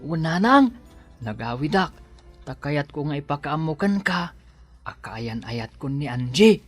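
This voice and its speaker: A man's voice: fairly high pitch, sing-song, unhurried, slightly rough, soft background music, exaggerated voice. An actor playing a humble, earnest young working man in love, speaking hesitantly and respectfully with an Ilocano accent in a radio drama. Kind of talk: Filipino radio drama acting.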